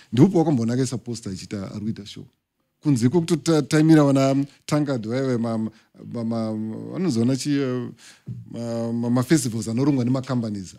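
A middle-aged Zimbabwean man, a podcast guest, speaking emphatically about the music industry into a studio boom mic. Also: warm tone. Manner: emphatic, lecturing, talks with his hands. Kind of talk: podcast interview, mixing English and Shona. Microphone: studio mic on boom arm.

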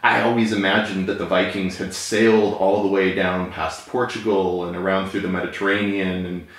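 Guy asking a long stop-start question, lowish voice, tons of room echo. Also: steady tone, a bit bright. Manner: rambling, halting questions with restarts. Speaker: interviewer. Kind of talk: interview questions. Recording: very echoey room, off-mic.

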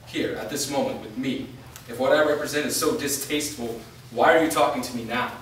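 A man's voice: medium pitch, a little fast, lightly acted. Grim, defiant wandering warrior played by a man for a stage drama, distant, heavily echoing room. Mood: probing. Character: world-weary, defiant male wandering vampire fighter. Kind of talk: staged play reading. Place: large bare indoor room, staged reading. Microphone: distant camera. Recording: echoey room from far off, faint noise.

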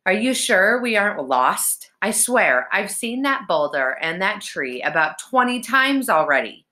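A woman's voice: mid-range voice, sing-song, unhurried, bright, forceful tone, voice pushed up. A woman voicing an anxious, skeptical young twin brother in a children's storybook, complaining with sarcastic indignation.

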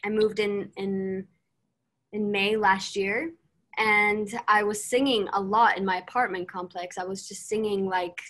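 A woman's voice: mid-range voice, fairly even intonation, a little slow, fairly bright tone. Upbeat young woman from Sweden on a video call. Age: young adult. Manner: chatty and upbeat, fillers and restarts. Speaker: singer being interviewed. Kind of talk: casual video-call interview. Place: small room indoors. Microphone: laptop mic on a video call, no earphones.

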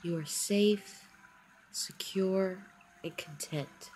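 A woman narrating a scripted guided meditation in a soothing, encouraging manner, with short phrases and long pauses. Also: mid-range voice, a little mellow, a little hiss or hum, rough recording. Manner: encouraging affirmations. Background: background music.

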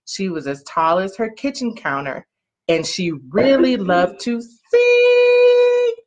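A woman's voice: a little high, sing-song, takes it slowly, darkish tone. A woman reading a children's storybook aloud expressively, drawing out key words and prompting young listeners with questions. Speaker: parent-like storyteller reading a picture book to children. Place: small living room, on a couch. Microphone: webcam or laptop mic at arm's length. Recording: muffled lo-fi webcam audio.

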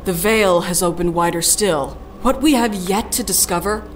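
Actress as optimistic formal lady advocate, lowish voice, articulate, faint noise. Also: normal speed, lightly acted. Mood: hopeful. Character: confident, optimistic woman speaking as a formal advocate. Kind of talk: audio drama speech.

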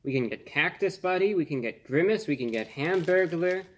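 Measured young man reviewing food. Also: on the high side, expressive, a bit bright. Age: 20s. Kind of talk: casual product review to camera. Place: small indoor room.

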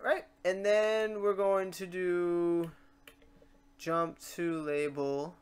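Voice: mid-range voice, little pitch movement, very slow. Casual tutorial host with clicky, noisy background. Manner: informal, chatty, meandering. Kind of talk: casual software tutorial, thinking aloud while working. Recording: noisy room, keyboard and mouse clicks. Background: typing and mouse clicking.

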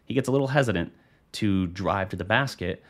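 Low-voiced American man talking sports. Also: a little fast. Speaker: sports show host and analyst. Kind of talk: casual unscripted basketball postgame commentary. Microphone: studio microphone on a boom arm.

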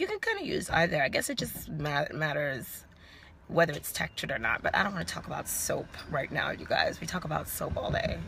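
Slow, gravelly young woman on a phone livestream. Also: on the low side, noisy background. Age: young adult. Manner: relaxed, chatty, answers viewer comments, curses. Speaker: livestream host. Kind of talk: casual livestream chat. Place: inside a car. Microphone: phone at arm's length.

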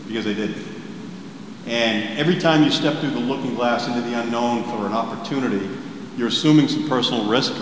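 A man in his sixties giving a keynote in a candid, plainspoken way, with frequent restarts, answering questions before a seated audience. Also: medium pitch. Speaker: keynote speaker. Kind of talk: conversational keynote Q and A. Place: large hall with a seated audience. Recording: echoey hall with background noise.

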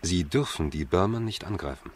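An actor playing a calm, cautious male lawyer who speaks in a measured, confiding way in a German crime radio play. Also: sits low, slight background noise. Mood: earnest.

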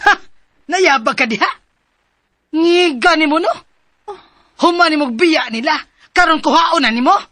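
A woman with a Cebuano accent acting a sharp-tongued, scornful, threatening mother in a radio drama. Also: high-pitched, animated, unhurried, long pauses, no room sound, full character voice. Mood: scornful anger.

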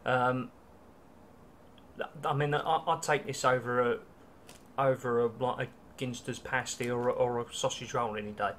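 A man's voice: medium pitch, steady tone, normal speed, a bit bright, a little hiss or hum. Wry British man on a webcam. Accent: British English. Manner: chatty and wry, with many ums and restarts. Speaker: travel vlogger. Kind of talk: casual food-tasting vlog. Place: small hotel room, indoors.

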